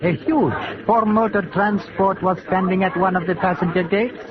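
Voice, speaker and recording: A man's voice: sits high, fairly even intonation, normal speed, crowd noise, natural voice. A man playing a devoted, humble Tibetan servant and storyteller in 1940s radio style, with formal, reverent speech. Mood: solemn storytelling. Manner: formal, reverent, simplified English. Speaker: narrator and sidekick. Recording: tinny vintage radio recording with music.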